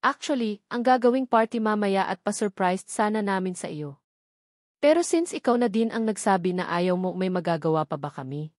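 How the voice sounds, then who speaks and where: Reassuring lady of the house for a romance audiobook, voiced by a woman, Filipino accent, soothing, medium pitch. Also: moderate pace, a little mellow, dry recording, clean studio sound, lightly acted. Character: kindly, reassuring madam of the household.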